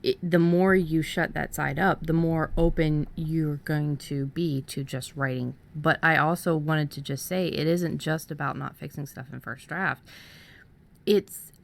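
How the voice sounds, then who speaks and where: A woman with a fairly deep voice talks casually as a podcast co-host. She is earnest and encouraging, and her sentences come haltingly, with repeated words and small stumbles. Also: fairly even intonation, gravelly. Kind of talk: casual conversational writing advice.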